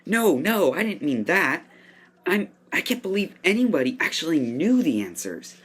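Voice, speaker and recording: A woman's voice: fairly low pitch, very animated, moderate pace, husky. A woman playing a curious, clever, polite young boy in a family audio drama, earnest and inquisitive. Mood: surprised, curious.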